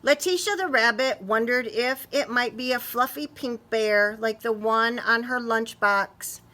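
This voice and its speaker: A woman reading a picture book aloud to young children expressively, pausing to ask them questions. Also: a little high, sharp tone, a little hiss or hum. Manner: talks to young listeners, asking questions. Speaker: children's story reader.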